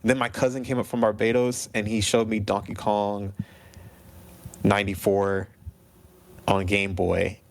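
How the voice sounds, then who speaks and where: A man's voice: lowish voice, level tone, measured pace, creaky, pauses often. A man in his thirties chatting casually as an interview guest, telling stories and acting out bits of dialogue. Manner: acts out remembered dialogue. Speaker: interview guest, video game composer. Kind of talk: casual chat, animated storytelling.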